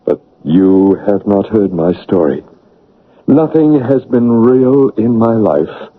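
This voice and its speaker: An actor playing an anguished, brooding English gentleman with a dark secret in a classic radio drama, husky, pleading and impassioned. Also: on the low side, expressive, takes it slowly. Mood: remorseful. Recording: narrow, tinny old radio broadcast.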